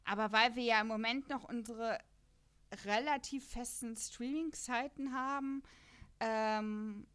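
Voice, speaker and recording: A woman's voice: a little high, steady tone, a little slow, a bit bright, smooth. A woman with a German accent chatting casually as a podcast host, in a jokey, self-deprecating manner. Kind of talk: casual podcast chat about an audio drama series.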